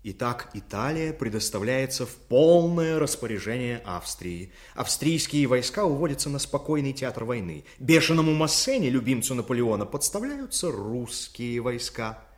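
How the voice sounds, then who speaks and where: A man's voice: mid-range voice, big pitch swings, moderate pace, hardly any pauses, natural voice. A man playing a scheming Austrian statesman, commanding, calculating and emphatic, in a radio drama. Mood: cold, calculating. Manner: insistent, emphatic. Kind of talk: Soviet radio drama acting.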